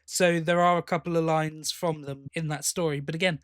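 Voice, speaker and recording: A man's voice: a little high, level tone, brisk, no echo, studio quality. A man co-hosting a podcast, talking in a casual, chatty and enthusiastic way. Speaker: podcast co-host.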